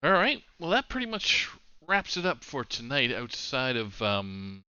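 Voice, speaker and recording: A man's voice: medium pitch, measured pace, clean tone, no echo, muffled recording. A man hosting a card game stream, chatting casually and reading out upcoming matches with plenty of fillers. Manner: relaxed, rambling with fillers. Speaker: esports stream host. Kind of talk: casual chat and announcements.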